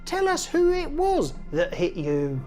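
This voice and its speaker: A woman voicing bullying, sneering captors in a storybook, with taunting, drawn-out emphasis. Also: sits high, wildly swooping pitch, a little mellow, soft background music, pitched above the performer's own voice, exaggerated voice. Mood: jeering. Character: jeering, bullying guards and temple interrogators.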